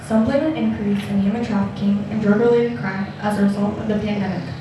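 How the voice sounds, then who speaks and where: A woman playing a news reporter in a live play, with an even newsreader delivery. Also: medium pitch, a little fast, natural voice. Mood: steady. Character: flat, steady news reporter. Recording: echoey theater stage from far off, noisy.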